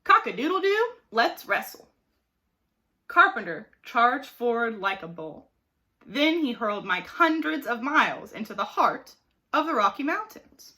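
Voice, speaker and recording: A woman reading a children's story aloud like a teacher, with expressive, dramatic emphasis. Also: a little high, measured pace. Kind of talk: animated storybook narration for children.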